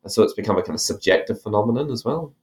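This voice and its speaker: A man's voice: fairly low pitch, lively intonation, racing. A man with an Irish accent, an author explaining his ideas in an interview, thoughtful and full of fillers and restarts. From Ireland. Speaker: author guest. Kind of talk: academic interview.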